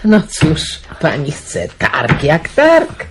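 A weary, kindly aunt in a radio play, her voice a little low and her manner resigned. The old recording carries a steady hiss of noise. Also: slow, heavily acted. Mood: gossiping. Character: weary middle-aged aunt in wartime countryside. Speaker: aunt raising her niece. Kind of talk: radio drama acting.

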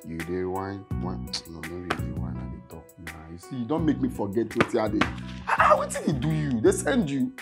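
A man's voice: fairly low pitch, wildly swooping pitch, fairly quick. Casting: a hot-tempered Nigerian kidnapper, boastful would-be music star, repeats himself as he scolds, film drama. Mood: grumbling, weary. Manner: blustering, repetitive, scolding. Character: volatile male kidnapper, a frustrated, boastful aspiring musician. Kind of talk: film acting, speaking Nigerian Pidgin.

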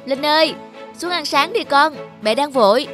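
A woman with a northern Vietnamese accent playing an anxious, strict but loving mother in an animated moral tale, earnest and expressive. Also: high voice, moderate pace, faint music behind. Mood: hurried.

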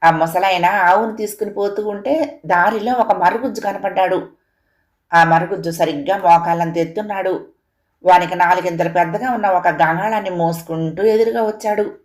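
A woman's voice: on the low side, moderate pace. A woman narrating a scripted moral folk tale as a storyteller.

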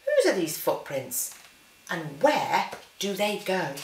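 A woman voicing a brave yet wary young monster girl from a storybook, with drawn-out, wondering questions. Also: on the low side, big pitch swings, a little hiss or hum, full character voice. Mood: curious wonder. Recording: roomy living-room echo.

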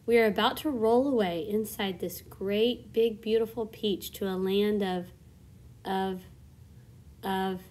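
A woman doing a gentle kindly ladybug. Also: mid-range voice, slow. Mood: kind, reassuring.

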